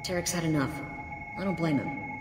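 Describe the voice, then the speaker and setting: A woman playing a low-voiced, bitter merc officer. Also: a little fast, music in the background, close to an everyday voice. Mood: frank. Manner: frank, resentful. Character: female mercenary lieutenant, bitter, weary. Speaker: mercenary officer. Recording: echoey game mix.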